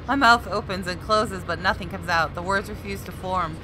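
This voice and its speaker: A woman's voice: mid-range voice, moderate pace, a crowd in the background, poor audio. A woman hosting a let's play, reading visual novel narration dramatically with casual, informal asides. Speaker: gaming video host. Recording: loud game music behind the voice. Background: game soundtrack.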